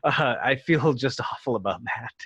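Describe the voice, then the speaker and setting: A man's voice: mid-range voice, animated, moderate pace, a bit bright, dry recording. A man acting a cocky, flustered starship captain in a sci-fi script read, blustering and bossy. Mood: awkward bluffing. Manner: hesitant stammering bluster, throat-clearing, barked orders. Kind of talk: screenplay table read acting.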